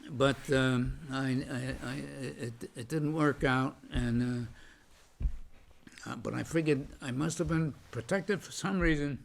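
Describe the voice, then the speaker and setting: A gravelly older man telling his memories at a slow, unhurried pace. He stops and restarts often and leans on fillers. His voice is loud and pitched in the middle of a man's range. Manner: halting, many restarts and fillers; says he has a loud voice. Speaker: veteran interviewee. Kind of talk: oral history recollection.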